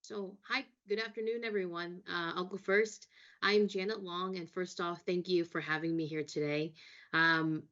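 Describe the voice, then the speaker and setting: A woman's voice: lowish voice, steady tone, normal speed, a bit bright, dull audio. A woman from Brooklyn, New York, speaking as a panelist in a friendly way with frequent ums. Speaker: brand director, panelist. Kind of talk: panel discussion.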